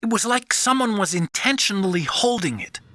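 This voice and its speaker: An actor playing a nervous, earnest, soft-hearted young male scientist in a video game, with a breathy high voice. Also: animated, normal speed, no room sound, studio quality. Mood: uneasy. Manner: anxious, pleading.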